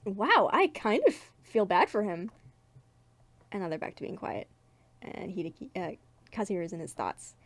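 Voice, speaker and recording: A woman's voice: medium pitch, big pitch swings, moderate pace, pauses often, dry recording. A woman giving a casual, self-mocking dramatic reading of her comic, with giggly running commentary. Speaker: webcomic creator reading her own comic.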